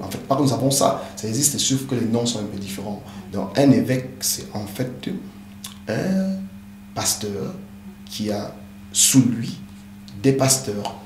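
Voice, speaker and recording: A man's voice: fairly low pitch, a bit bright. A man who is a pastor and a native English speaker, earnestly explaining things in an interview with many hesitant fillers. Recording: echoey room with background noise.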